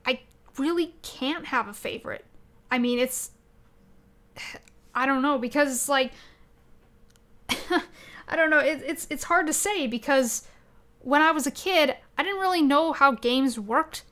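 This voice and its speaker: A woman's voice: high-pitched, unhurried, long pauses, sharp tone. A woman co-hosting a podcast, talking in a casual, conversational way. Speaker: podcast co-host.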